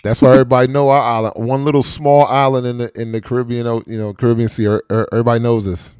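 A man's voice: mid-range voice, little pitch movement, rapid-fire, a little mellow, smooth, dry recording. A middle-aged man hosting a podcast in casual chat, easygoing and rambling, with many fillers and restarts. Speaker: podcast host.

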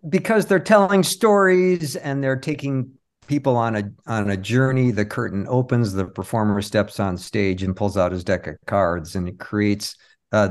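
A man speaking as an interview guest, telling anecdotes and explaining his work in a casual, conversational way. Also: lowish voice. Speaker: interview guest, performing magician.

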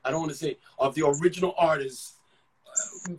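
A man from Ghana, an actor, talking about his career in a relaxed interview, often restarting and repeating words as he thinks. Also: fairly high pitch, a little fast, breathy edge, poor audio. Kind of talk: casual conversational storytelling.

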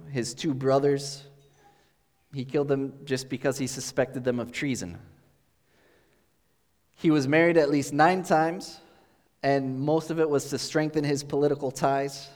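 A pastor giving a relaxed, conversational sermon, explaining as he goes with thinking-aloud pauses, recorded in a church sanctuary. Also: on the high side. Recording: long hall reverb.

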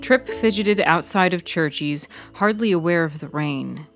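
A woman's voice: lowish voice, a little slow, music in the background. A woman reading scripted fiction as the narrator of a serial story. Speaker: author and narrator.

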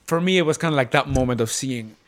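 A man's voice: mid-range voice, fast talker. A man co-hosting a podcast as a theater director, talking reflectively and earnestly in a casual, rambling way. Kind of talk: casual reflective panel chat.

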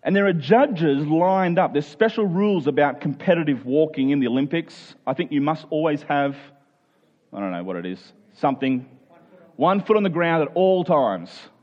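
A man's voice: fairly high pitch, lively intonation, normal speed, darkish tone, highs cut off. A man preaching a conversational Bible sermon in an earnest way, posing rhetorical questions and voicing imagined objectors. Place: speaking live to a congregation.

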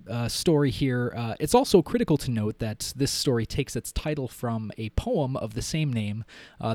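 A man's voice: medium pitch, darkish tone, dry recording. A man speaking as a podcast host and narrator, presenting literature and audio drama.

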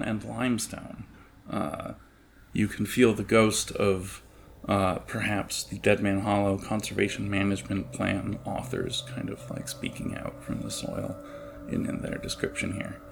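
Low, gravelly man narrating over noise. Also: steady tone. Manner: halting, wordy, descriptive. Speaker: game master. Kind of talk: improvised tabletop game narration.

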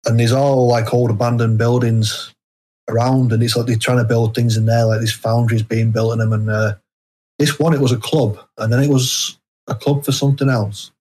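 Interview guest: British male author, slightly rough husky voice, unassuming, meandering conversational delivery. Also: mid-range voice, flat delivery, normal speed, a little mellow. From United Kingdom. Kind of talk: casual chat.

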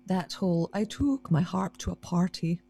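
A woman's voice: lowish voice, animated, normal speed, vocal fry, warm tone, husky, faint music behind. A woman harpist, chatting casually and self-deprecatingly as a guest in an interview, often restarting her sentences.

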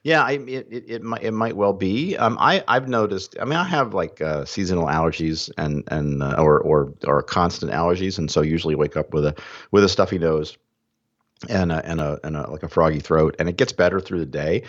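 A man co-hosting a podcast, unhurried and thinking aloud in casual chat. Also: low voice. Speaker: podcast co-host, university academic. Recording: dull lo-fi sound.

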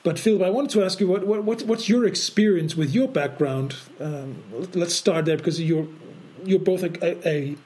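Halting Danish-born man, podcast co-host. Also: sits high, warm tone. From Denmark. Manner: halting, many repeated words and restarts. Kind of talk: casual podcast chat.

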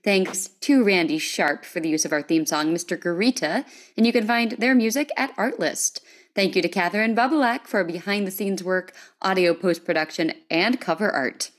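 A woman's voice: medium pitch, moderate pace. A woman with an American accent hosting a casual podcast in an enthusiastic, appreciative manner. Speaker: podcast host.

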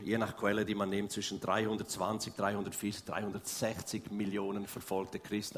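A man's voice: medium pitch, a bit bright. A man preaching a sermon, telling stories and acting out prayers and quotes with a rising voice, asking the audience rhetorical questions.

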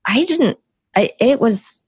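A middle-aged woman, raised in the United States with Korean as her first language, speaks as a podcast guest, telling her story in a thoughtful, halting way with many restarts. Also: a little high, animated, darkish tone, husky, dry recording, studio quality. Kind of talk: reflective storytelling interview.

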